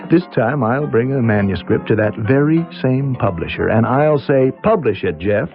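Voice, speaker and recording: Male actor as earnest former protégé, now a successful writer: lively, emphatic, medium-pitched, muffled vintage radio drama sound. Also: normal speed, natural voice. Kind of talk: old-time radio mystery acting. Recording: muffled old radio broadcast with music.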